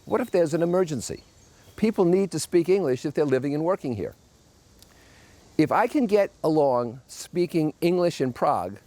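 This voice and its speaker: A man's voice: fairly high pitch, a little mellow, no echo. An older man from New Jersey with a New York accent, giving an emphatic, opinionated monologue with wry asides as a podcast host. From New Jersey, United States.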